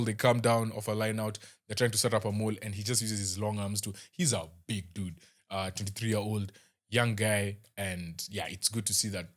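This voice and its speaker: A young man with a Kenyan accent talks through a rugby match in a loose, chatty way. His voice sits a little low, and he often stops to restart a thought or fill a gap with "um". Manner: chatty, halting, with many fillers and restarts. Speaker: rugby show host. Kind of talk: casual match review.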